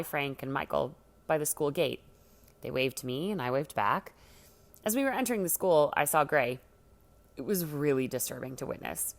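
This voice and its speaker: A woman narrates a first-person teen story in a low register with noticeable vocal fry. Her reading is scripted and expressive, with a dramatic storyteller's delivery. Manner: dramatic, acts out the dialogue. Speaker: story reader.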